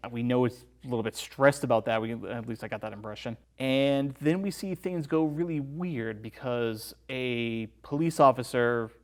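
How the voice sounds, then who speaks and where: A man as a podcast guest in a casual film discussion, explaining things informally. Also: medium pitch.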